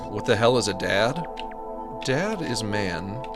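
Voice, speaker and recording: A man's voice: on the low side, expressive, slow pace. A man with a general American accent, rambling goofily while streaming a video game, often laughing at his own jokes. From New England. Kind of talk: casual live game commentary. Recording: game music playing behind.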